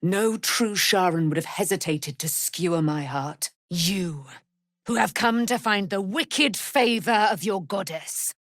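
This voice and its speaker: An actress playing a proud, righteous immortal warrior, daughter of a moon goddess, with grandiose, formal delivery in a fantasy video game. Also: female voice, mid-range voice, a little slow, fairly bright tone, no room sound, studio quality. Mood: defiant, challenging.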